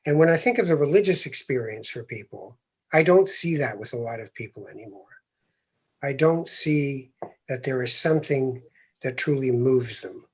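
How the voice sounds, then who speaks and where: A man hosting a podcast interview, speaking reflectively and growing animated as he makes his points, with easy laughs. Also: mid-range voice, moderate pace, husky. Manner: thoughtful, animated when making a point, laughs easily. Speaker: podcast host.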